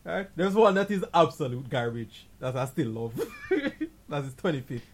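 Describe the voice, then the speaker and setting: A man's voice: a little high, big pitch swings, moderate pace. A man co-hosting a movie podcast, chatting casually, teasing his co-host and laughing a lot. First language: not Danish; speaks English. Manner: laughing, teasing, blunt opinions. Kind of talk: casual chat about movies.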